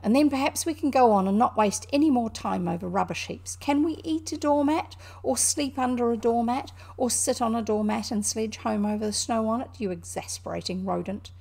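A woman's voice: on the high side, a little fast, vocal fry, darkish tone. A woman voicing a grumbling, literal-minded male mole in a storybook, indignant and easily put out. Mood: pettish, indignant. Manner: complaining, indignant, wordy. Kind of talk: animated storybook character reading.

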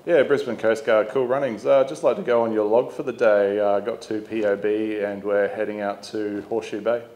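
A man's voice: fairly low pitch, fairly even intonation, moderate pace, a little mellow. An Australian man in his thirties giving an informal, plain-spoken instructional presentation, explaining things step by step. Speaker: volunteer coast guard instructor presenting a video. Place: boat on water, open garage, radio room. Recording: roomy echo, clear ambient noise.

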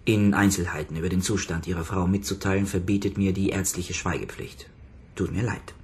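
A psychotherapist in a radio crime drama. His voice sits very low, and he speaks with calm authority. He questions in formal, measured sentences and does not let go. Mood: probing, controlled. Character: calm, probing male psychotherapist, authoritative and persistent. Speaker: actor in a radio crime play. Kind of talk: German radio drama acting.

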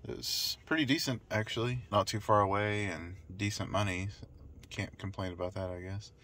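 A man making offhand, low-key remarks from the passenger seat of a car. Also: steady tone, normal speed, fairly bright tone. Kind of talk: casual chat. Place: inside a car.

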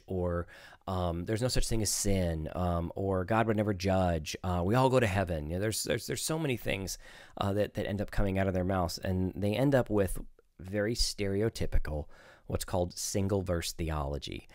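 A man in his forties with a low voice explains scripture informally, as a host speaking to camera. He is conversational and opinionated. The sound is dry and close, from a studio mic in a small room. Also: a little mellow, no room sound. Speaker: host, Bible teacher. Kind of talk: informal Bible teaching to camera. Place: small home studio, indoors. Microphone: close studio microphone on desk.

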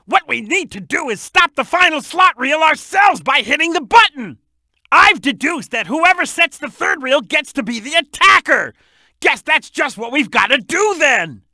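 A shouty cartoon croc detective for a video game, super high for a man, sharp and blaring, bossy n excitable. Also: dry recording, exaggerated voice. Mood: excited, urgent. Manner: shouty, emphatic, blustering. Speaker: video game character giving hints. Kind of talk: animated video game voice acting.

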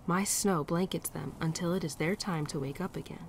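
A woman playing a gentle, teasing winter spirit in a girlfriend ASMR roleplay, speaking softly and tenderly, often dropping to a near-whisper. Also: on the low side, moderate pace, slight background noise, close to an everyday voice. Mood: gentle, reflective. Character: gentle, teasing female winter spirit, affectionate old friend. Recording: birdsong and outdoor ambience behind.

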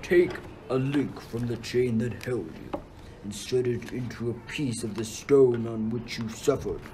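A man's voice: medium pitch, measured pace, close to an everyday voice. A man playing a stern, tyrannical king of the gods, declaiming in a radio play. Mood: stern, commanding. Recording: very noisy amateur recording.

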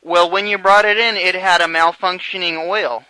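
Man with a very sharp, bright voice. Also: sits high, fairly even intonation, normal speed, clear, resonant tone, no room sound, poor audio. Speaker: prank caller posing as a dealership manager. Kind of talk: phone conversation, improvised prank.

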